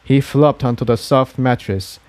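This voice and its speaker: A man's voice: medium pitch, a little slow, a little mellow, clear, resonant tone, no echo. A man narrating an adventure story from a script, as a fan fiction reader.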